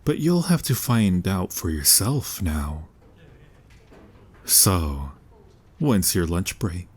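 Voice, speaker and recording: Husky, slow-talking young mafia charmer played by a man. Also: low-pitched, expressive, a little mellow, full character voice. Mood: amused, reassuring. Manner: playful, smooth-talking, mock-sincere. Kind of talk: ASMR boyfriend-style audio roleplay.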